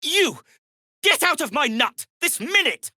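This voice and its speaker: A man's voice: very high-pitched, very animated, unhurried, pushed and bright, dry recording, studio quality, heavily acted. A male actor playing a world-weary, prim gnome in a video game, wry and exasperated, with formal wording. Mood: outraged. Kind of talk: video game character dialogue.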